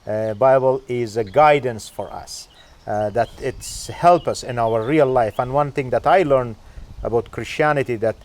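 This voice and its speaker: A man from Afghanistan, hosting a podcast interview and asking questions in halting English full of "uh" fillers. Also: mid-range voice, darkish tone. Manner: halting, frequent "uh" fillers, non-native phrasing. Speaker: podcast host.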